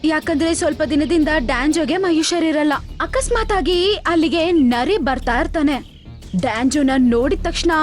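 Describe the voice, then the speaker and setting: A woman narrating a scripted animal cartoon story as its storyteller. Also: high-pitched. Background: light background music.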